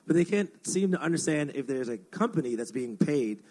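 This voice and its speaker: A man's voice: a little high, lively intonation, fairly quick, warm tone. A man giving wry, conversational commentary in a radio discussion, explaining his points by analogy.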